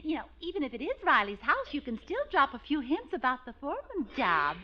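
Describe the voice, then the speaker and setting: An actress playing a doting, sly working-class wife with a cooing manner in a 1940s radio comedy. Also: female voice, sits high, huge pitch swings, fairly quick, muffled recording, very noisy. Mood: sweetly conniving.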